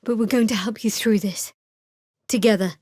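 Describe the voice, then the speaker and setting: A woman's voice: medium pitch, steady tone, a little mellow, dry recording, lightly acted. A woman playing a guarded, sardonic young cleric, close and dry-miked. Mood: earnest. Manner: dry, wry, measured delivery. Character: guarded, sardonic young female cleric adventurer of a dark goddess. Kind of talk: fantasy video game voice acting.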